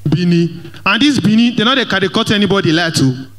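A young Nigerian Pidgin-accented man doing stand-up comedy on a church stage, slightly high-voiced and lively. He mixes Pidgin with English and talks straight to the crowd through a handheld mic. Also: a little fast. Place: large church hall stage. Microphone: handheld microphone.

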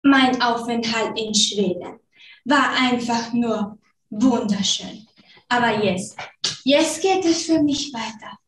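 A woman's voice: on the high side, slow pace, a little hiss or hum. A young woman acting a cheerful virus that travels the world, speaking broken German in a singsong way. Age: teens. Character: cheerful foreign-sounding virus touring the world. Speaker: student performer. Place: large school classroom. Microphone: far camera. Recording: roomy, distant classroom recording.

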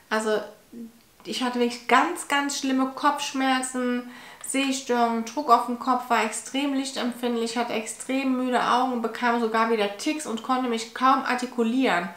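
A woman in her forties talking to camera at home in a personal vlog, nasal from a cold, tired and halting with restarts. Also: a little high, fairly bright tone. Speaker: vlogger. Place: home living room, indoors. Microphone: fixed camera at medium distance. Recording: echoey room.

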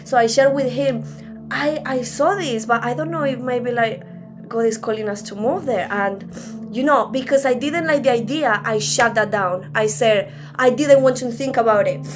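Young female lay preacher, Spanish accent, higher voice, earnest and conversational, video-call sound with prominent music underneath. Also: moderate pace. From Spain. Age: young adult. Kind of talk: informal teaching with personal testimony. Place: small room at home. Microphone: laptop on a video call.

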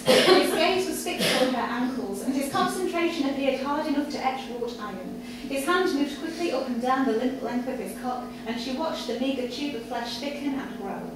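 A woman with a high voice reads a story aloud at a live event. She is heard from a distance in a strongly reverberant cafe bar, with clear noise from the room around her. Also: animated, moderate pace, hardly any pauses. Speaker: reader at a live literary event. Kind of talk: live story reading to an audience. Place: indoor cafe bar with a small audience. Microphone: far camera. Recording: echoey noisy room, far from microphone. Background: audience ambience and background noise.

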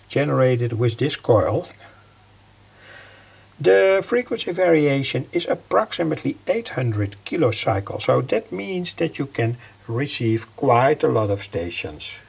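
An older man giving an informal technical explanation as a hobbyist presenter, halting and repeating his phrases. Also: mid-range voice, sing-song. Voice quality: gravelly, husky.

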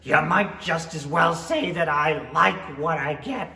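Lady doing pedantic excitable hare, radio play, bright slow delivery, echoey. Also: deep voice, creaky, full character voice. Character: contrary, excitable March Hare at a mad tea party. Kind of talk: 1930s-style radio play acting. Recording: echoey hall from far off.

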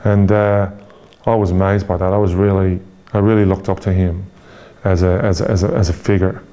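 A man in his forties from Ireland with an Irish accent, an interview guest speaking candidly and reflectively, pausing often with fillers and restarts. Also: low voice, level tone, warm tone.

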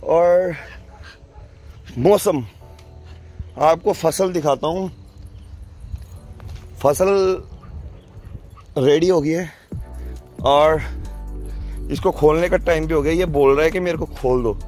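Chatty young man vlogging, higher-pitched voice. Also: slow, pauses often, darkish tone. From Himachal Pradesh, India. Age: young adult. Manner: chatty, informal, addressing viewers. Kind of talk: casual outdoor vlog chat. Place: outdoors, rural farmhouse yard and fields. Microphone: phone at arm's length. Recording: phone video with music under voice. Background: music.

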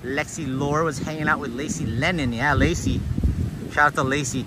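Male livestream host, fairly high voice, laid-back and chatty, recorded walking outside with noticeable ambient noise. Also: fairly even intonation, moderate pace. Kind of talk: casual livestream chat, greeting viewers. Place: outdoors, walking along a beach. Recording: noisy outdoor ambience, breezy.

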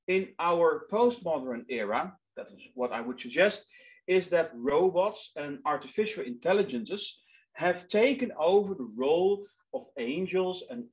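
Dutch man lecturing in careful English. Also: fairly high pitch. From Netherlands. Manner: earnest, searching for words, repeating himself. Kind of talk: online lecture. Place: small room indoors.

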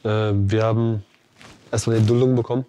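A man in his late twenties from Afghanistan, a footballer calmly explaining things in a conversation. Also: lowish voice, a little fast. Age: 20s. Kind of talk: conversational interview. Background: guitar music.

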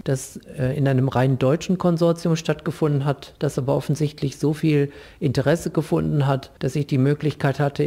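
Gravelly, mellow professor, a man explaining. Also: on the high side. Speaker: professor being interviewed. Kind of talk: explanatory interview answers in long, careful sentences.

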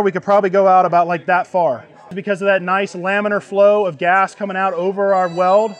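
A middle-aged man instructing a group in a live hands-on class, plain-spoken and folksy, checking that listeners follow. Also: sits high. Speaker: welding instructor. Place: outdoors under an open tent canopy before a seated group.

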